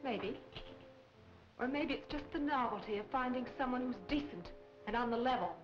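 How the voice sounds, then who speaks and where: A woman's voice: fairly high pitch, normal speed, echoey room, natural voice. An actress playing a proud young woman in a 1940s crime film, cool and firm in manner. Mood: defiant. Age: young adult. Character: proud, defiant young woman mixed up in smuggling. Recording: dull 1940 film soundtrack, music behind.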